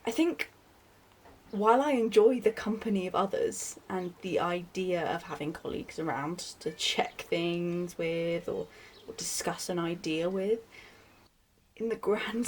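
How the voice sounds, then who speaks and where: A medium-pitched female voice of an actress reflects in a film diary monologue. She is a contented introvert who likes her own company, and she hesitates slightly as she thinks aloud. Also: fairly even intonation, unhurried, darkish tone, close to an everyday voice, rough recording. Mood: content, reflective.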